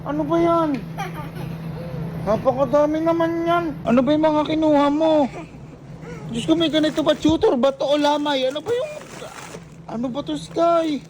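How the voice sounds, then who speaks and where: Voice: high-pitched, moderate pace, long pauses. A speaker with a Filipino accent chatting casually in an exasperated, complaining way and often repeating phrases. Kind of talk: casual chat. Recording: noisy on-location recording with traffic.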